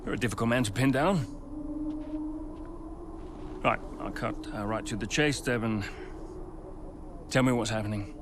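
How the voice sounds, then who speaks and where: A man's voice: medium pitch, moderate pace, long pauses, noisy background, lightly acted. An actor playing a firm, blunt racing team boss questioning his driver in a naturalistic game cutscene. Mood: probing. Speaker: team principal.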